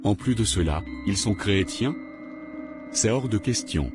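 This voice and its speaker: Man playing a stern, low-voiced snobbish father. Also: animated, a little slow. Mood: suspicious, scornful. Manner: stern, commanding. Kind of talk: animated drama dialogue. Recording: clear music behind the voice.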